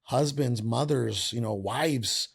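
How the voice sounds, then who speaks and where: A man's voice: mid-range voice, slow, vocal fry, husky. A man hosting a podcast, talking in an earnest, emphatic way and finishing his guest's thoughts. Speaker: podcast host. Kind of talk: casual podcast conversation.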